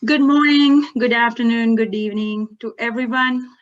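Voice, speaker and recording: A woman's voice: on the high side, moderate pace. A woman from Sri Lanka, a researcher, opening her talk at an online workshop. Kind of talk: online presentation. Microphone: video call. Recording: muffled video call audio.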